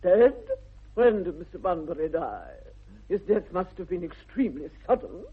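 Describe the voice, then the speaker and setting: Imperious, swooping aristocratic English matriarch. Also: female voice, fairly low pitch, very animated, moderate pace, breathy edge, full character voice. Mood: imperious disapproval. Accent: upper-class English. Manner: haughty, declamatory, precisely articulated. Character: imperious, formidable aristocratic English mother and aunt. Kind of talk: 1960s radio comedy acting. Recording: tinny, band-limited vintage radio recording.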